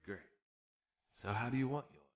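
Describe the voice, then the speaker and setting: A man's voice: mid-range voice, moderate pace, dull audio, close to an everyday voice. A man playing an older retired police detective in a noir audio drama, blunt and paternal, using old-time slang. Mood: jovial, teasing. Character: gruff, fatherly retired male police detective.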